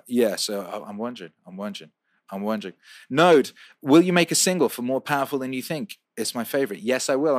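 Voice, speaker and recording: A man's voice: fairly low pitch, no room sound, studio quality. A man from the UK who grew up in Wales, hosting a livestream and reading out viewer questions in a loose, profane, rambling way. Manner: loose and profane, riffs and repeats himself. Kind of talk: casual livestream chat.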